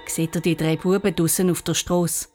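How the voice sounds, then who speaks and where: Low-voiced Swiss woman narrating over music. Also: level tone, normal speed, a little mellow. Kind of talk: scripted children's story narration.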